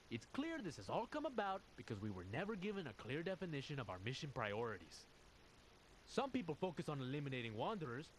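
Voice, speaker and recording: Animated, higher-voiced military officer. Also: male voice, slight background noise, poor audio. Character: earnest, troubled military squad officer confiding in an audio log. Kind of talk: scripted game audio log monologue.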